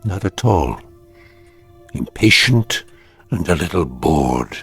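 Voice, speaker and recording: An older actor playing an old man who calmly and gravely defies his would-be killer, in a vintage television drama. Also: extremely low pitch, full character voice. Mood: weary. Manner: measured, grave, rising to bursts. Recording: vintage broadcast soundtrack with music.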